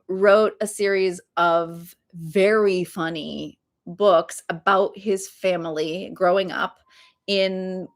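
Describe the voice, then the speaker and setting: Middle-aged woman, casual chatty author recommending books, mid-range voice, hesitant ums. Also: mid-range voice. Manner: chatty, with frequent ums and self-mocking asides. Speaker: author talking to her readers. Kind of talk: casual book recommendation talk.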